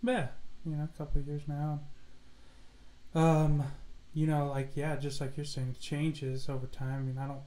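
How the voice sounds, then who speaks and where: Casting: male co-host, fairly high voice, jokey storyteller, echoey room. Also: level tone, moderate pace, warm tone. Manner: joking, storytelling, acts out quotes. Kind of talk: casual livestream chat.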